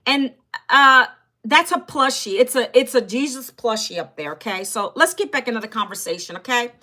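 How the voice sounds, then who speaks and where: Chatty woman in her 50s on a phone livestream, high bright voice, talks right at the viewers. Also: lively intonation, normal speed. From Northern Virginia, USA. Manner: chatty, talks straight to viewers and reads their comments aloud. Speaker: livestream host. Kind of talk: casual livestream chat with viewers.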